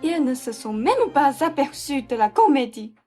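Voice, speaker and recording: A woman's voice: high voice, big pitch swings, normal speed, warm tone, faint music behind. A young woman playing a world-weary, theatrical rich older lady in a French radio farce, with dramatic sighs. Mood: wistful. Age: young adult. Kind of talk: French stage farce performed as student radio play.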